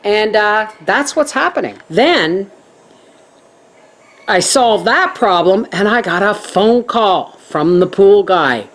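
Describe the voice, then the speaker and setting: A middle-aged woman chatting to her viewers as a homestead vlogger, telling stories in a lively way. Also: mid-range voice, takes it slowly. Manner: chatty, animated storytelling. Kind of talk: casual chat to viewers.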